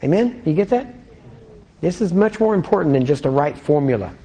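A man with a Texan accent preaching an informal sermon, keeping it conversational with frequent checks like "amen?" and clearing his throat often. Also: fairly high pitch, expressive, fairly quick, warm tone.

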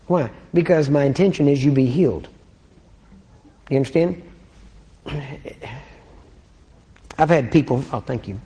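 A man with a Texan accent preaching an informal sermon, keeping it conversational with frequent checks like "amen?" and clearing his throat often. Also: mid-range voice, measured pace, pauses often, mellow.

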